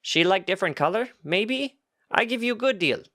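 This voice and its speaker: A man voicing a pushy female goat merchant who hawks wares in halting, broken speech for a fantasy story. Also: high voice, animated, pushed and bright, no echo, studio quality, voice pushed up. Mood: pushy, wheedling. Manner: halting broken-grammar sales patter.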